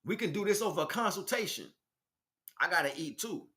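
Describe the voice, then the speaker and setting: A man's voice: sits high, expressive, brisk, sharp tone. An American man delivering an informal spiritual monologue as a teacher, speaking emphatically in streetwise slang and addressing listeners directly.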